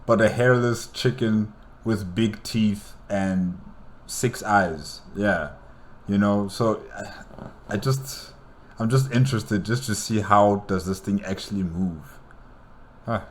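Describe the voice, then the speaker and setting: A man's voice: lowish voice, slow. A man hosting a reaction video, commenting casually and thinking aloud with curious questions. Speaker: reaction channel host.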